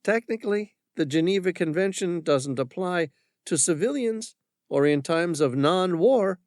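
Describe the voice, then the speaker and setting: A cold, collected gunwoman speaks her threats in an almost conversational tone, as a man puts her on. The voice sits a little higher and louder than his own. The sound is dry and close. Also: unhurried, no echo. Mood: menacing. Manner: calm, conversational menace. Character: cold armed woman, calm, menacing.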